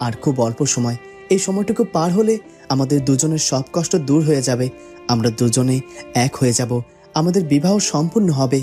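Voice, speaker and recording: A man's voice: medium pitch, lively intonation, fairly quick, mellow, faint music behind, close to an everyday voice. A man voicing a lovesick young cartoon prince who muses dreamily to himself with small stammers. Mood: longing, pensive. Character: lovesick young prince longing for his bride. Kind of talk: cartoon voice acting, romantic monologue.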